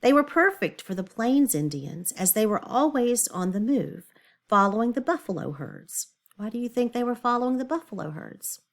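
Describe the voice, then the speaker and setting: Woman teacher with lively, swooping intonation. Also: a little high, normal speed. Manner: explanatory, asks the listener questions and thinks aloud. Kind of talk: read-aloud lesson for children with explanatory asides.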